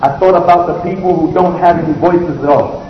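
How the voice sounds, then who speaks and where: A man's voice: on the high side, fairly even intonation. Man preaching in an echoey hall. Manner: measured preaching with repeated phrases. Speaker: imam giving the Eid sermon. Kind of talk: sermon. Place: large civic center hall. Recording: echoey hall, muffled, faint hiss.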